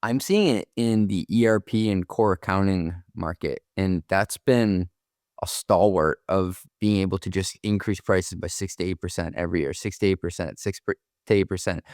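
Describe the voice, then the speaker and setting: A man's voice: sits low, smooth, no room sound, clean studio sound. A man co-hosting a business podcast, talking casually in informal, colloquial language. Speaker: podcast co-host.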